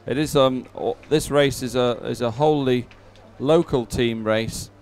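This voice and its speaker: A man giving unscripted live sports commentary in a halting, wry, self-deprecating way. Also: mid-range voice, a little mellow, a little hiss or hum.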